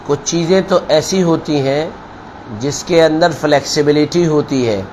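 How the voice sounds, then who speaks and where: Guy preaching like a maulana, highish voice, slow deliberate storytelling, bit of noise behind him. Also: a little mellow, rough recording. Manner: deliberate, admonishing, repeats phrases for emphasis. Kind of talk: sermon with storytelling parable.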